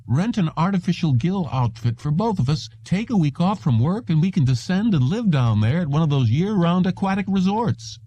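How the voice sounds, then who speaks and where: A man's voice: fairly high pitch, big pitch swings, normal speed, hardly any pauses, dark tone, no room sound, voice pushed up. A man voicing a cross, nagging, exasperated wife with a harsh, scolding, earnest manner. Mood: earnest pleading.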